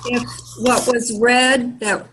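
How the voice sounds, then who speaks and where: Slow-speaking woman, distant over noise. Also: a little high, flat delivery. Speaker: readers theater participant. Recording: distant mic with clear background noise.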